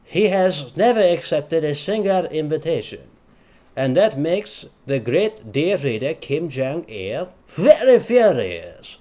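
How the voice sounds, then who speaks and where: A man playing a bumbling North guest on a comedy radio bit, speaking halting, broken English. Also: fairly high pitch, very animated. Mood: earnest proud. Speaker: musical guest. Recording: guitar playing loudly behind.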